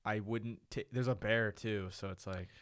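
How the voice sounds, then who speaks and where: A young man with a fairly low voice co-hosts a podcast in easygoing, teasing chat, peppering his talk with "like" and "dude." The recording is dry and close, with no room echo. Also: steady tone, moderate pace, fairly bright tone. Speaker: podcast co-host. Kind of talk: casual podcast banter.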